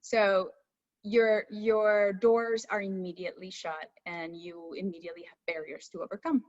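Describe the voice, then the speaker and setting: A woman's voice: medium pitch, level tone, normal speed, darkish tone, muffled recording. A woman from Cuba, a native Spanish speaker, answering as an interview guest in a candid, conversational way. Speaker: astrophysicist guest.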